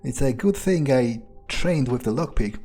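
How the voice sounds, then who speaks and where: A man plays a cynical male vampire in a game roleplay, thinking aloud in a slow, mellow voice. He is halting and sardonic, crude in his language, and cleanly recorded over faint music. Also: mid-range voice, expressive. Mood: musing. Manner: halting, musing, sardonic, swearing. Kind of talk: improvised in-character video game playthrough.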